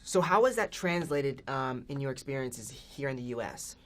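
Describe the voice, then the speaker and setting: A man's voice: medium pitch, animated, normal speed, fairly bright tone. A young man interviewing a guest, reading his prepared questions in an upbeat way. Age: young adult.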